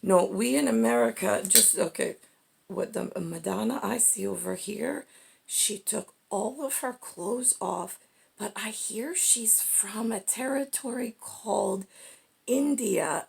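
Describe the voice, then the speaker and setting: A woman talks in a rambling, stream-of-consciousness way, her voice heavily creaky and husky. She drifts from one thought to the next in halting pieces, often tagging them with a short questioning check. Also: medium pitch, a little mellow. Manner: halting, digressive, with frequent self-questioning tags like "right?"; acts out imagined two-way conversations.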